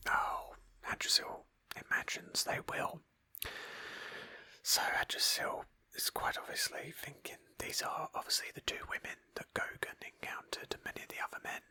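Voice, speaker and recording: A woman speaking in a hushed, breathy whisper close to the microphone, softly narrating a video game roleplay as an ASMR host. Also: moderate pace.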